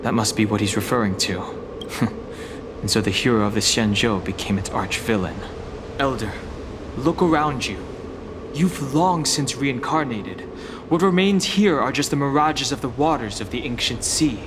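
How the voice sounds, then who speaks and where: An actor voicing a calm, guarded young warrior in a video game, speaking in composed, formal phrases. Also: male voice, mid-range voice, moderate pace, noisy background, close to an everyday voice. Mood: pensive, firm.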